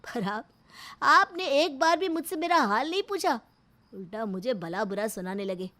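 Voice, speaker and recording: A woman's voice: a little high, expressive, moderate pace, sharp tone. A woman voicing an aggrieved, resentful younger brother in a storybook drama, complaining and defiant. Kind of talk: acted storybook dialogue.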